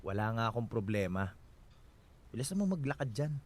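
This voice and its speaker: An actor playing a bitter, jealous husband in a Filipino radio drama, curt, sarcastic and accusing. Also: male voice, medium pitch, sing-song, normal speed, slight background noise, natural voice. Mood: sullen, sarcastic.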